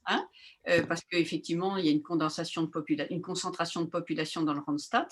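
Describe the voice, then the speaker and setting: A woman's voice: on the low side, steady tone, fast. A woman moderating an online discussion, speaking with many hesitations and fillers.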